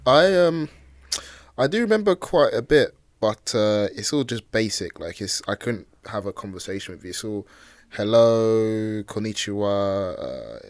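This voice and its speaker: A young man co-hosting a podcast in casual chat, easygoing and hesitant, on a boom-arm mic in a small home room. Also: lively intonation, unhurried. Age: young adult. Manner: easygoing, agreeable, hesitant with many ums. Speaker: podcast co-host. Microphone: studio mic on boom arm.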